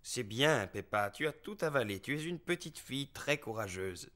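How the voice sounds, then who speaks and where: Bright-voiced, reassuring bear doctor. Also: male voice, medium pitch, lively intonation, normal speed. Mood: reassuring, businesslike. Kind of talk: French cartoon dubbing for preschoolers.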